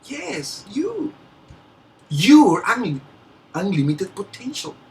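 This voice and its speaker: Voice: mid-range voice, big pitch swings, slow pace, darkish tone, recorded from a distance. A motivational speaker from Peru with a Peruvian Spanish accent and Spanish as a first language. They give an earnest, emphatic speech, laughing lightly and restarting words now and then.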